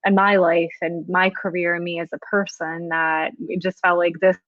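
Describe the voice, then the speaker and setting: A woman's voice: fairly low pitch, little pitch movement, normal speed, a bit bright, no echo. A woman from Serbia co-hosting a conversational show and introducing a guest in an effusive manner over an online call. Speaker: podcast co-host, organizational psychologist. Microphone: remote online call. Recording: dull, lo-fi call audio.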